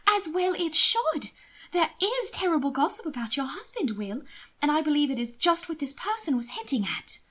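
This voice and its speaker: Woman acting high, urgent, genteel young lady. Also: very animated, moderate pace, fairly bright tone. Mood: urgent concern. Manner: urgent, dramatic, coaxing. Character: anxious, sly young genteel lady, protective sister. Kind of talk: audiobook character dialogue.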